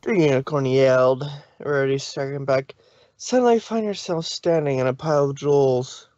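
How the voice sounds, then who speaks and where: Male narrator, plain steady read, dry, muffled mic. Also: medium pitch, moderate pace, no room sound. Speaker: reader. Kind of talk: fanfic reading narration.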